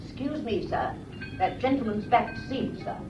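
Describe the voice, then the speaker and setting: A woman's voice: a little high, animated, normal speed, darkish tone, faint music behind, close to an everyday voice. An actress playing a bossy, snobbish British housekeeper in a radio drama, brusque and set in her ways. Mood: deferential. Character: bossy, snobbish, gossipy woman housekeeper in service.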